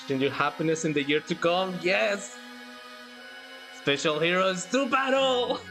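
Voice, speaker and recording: A livestream host chatting in an excited, gushing, giggly way while reacting to a game. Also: medium pitch, a little slow. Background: game music.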